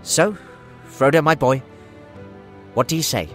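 A man voicing a kindly, fussy older gentleman hobbit with a hesitant, roundabout way of talking. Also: on the high side, unhurried, soft background music, pitched above the performer's own voice, natural voice. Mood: warm, hopeful.

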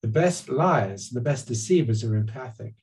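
A man's voice: medium pitch, lively intonation, moderate pace, a little mellow. An older man, a guest psychologist, explaining earnestly over a webcam call from his living room, often restarting his sentences. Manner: earnest, gesturing with his hands, frequent restarts. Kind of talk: explanatory conversation on a video call. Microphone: webcam on a video call.

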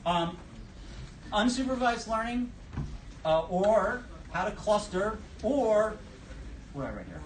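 A man's voice: high-pitched, big pitch swings, slow, long pauses. A middle-aged man with a New York accent giving a blunt, joking talk to a conference audience, recorded in a room with a projector. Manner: blunt, joking, self-deprecating, gestures broadly. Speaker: speaker at a conference session. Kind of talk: casual live lecture. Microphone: camera at the back of the room, no mic. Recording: roomy, distant, noisy room recording.

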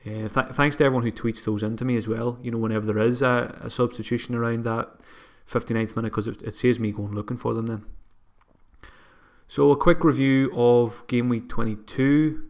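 A man's voice: mid-range voice, fairly even intonation, fairly quick, a little mellow. A man hosting a solo podcast, talking casually and a bit sniffly from a cold, with frequent restarts and self-deprecating asides. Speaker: podcast host. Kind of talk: solo fantasy football podcast.

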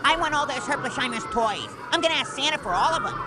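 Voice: sits high, expressive, fast, music in the background. An actor playing a pushy know-it-all boy in a video game, with a bossy, pedantic and smug way of talking. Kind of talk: video game cutscene acting.

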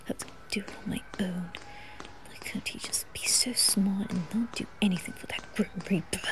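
A woman's voice: mid-range voice, fairly even intonation, moderate pace, whispered, voice pushed down, close to an everyday voice, poor audio. A woman voicing a grumpy, exasperated young noble boy in an anime fan roleplay, muttering irritably.

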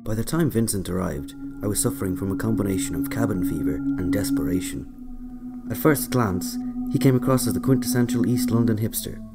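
A man narrating a scripted autobiographical story in a measured, dry way. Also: fairly low pitch. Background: loud music.

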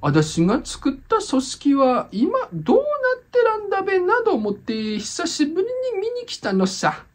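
A high, lilting old auntie with a thick Tsugaru accent, as a woman puts her on, rambling along like a village storyteller. Her pitch swoops all over, and her folksy chatter hides a sly undercover cyber cop in this comedic voice drama. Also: wildly swooping pitch, normal speed, few pauses. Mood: wistful. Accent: Tsugaru dialect.

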